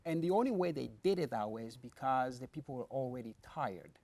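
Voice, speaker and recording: A man's voice: medium pitch, expressive, fairly quick, creaky, darkish tone. A middle-aged man from the Democratic Republic of the Congo, a pastor, answering studio interview questions in an earnest, explanatory way, with many fillers and restarts.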